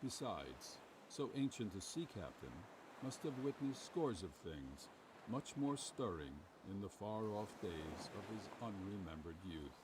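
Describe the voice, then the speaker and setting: A man's voice: fairly low pitch, animated, unhurried. A man playing the narrator of a macabre old tale, reading formal literary prose for a game. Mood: grimly wry. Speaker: story narrator. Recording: distant, noisy game audio with ambience.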